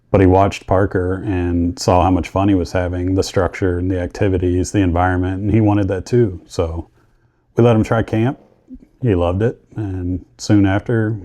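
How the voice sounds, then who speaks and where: A middle-aged man delivers scripted personal storytelling. His voice sits low, with a very dark, relaxed tone. He speaks earnestly, in short, deliberate phrases. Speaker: vlogger telling his own story.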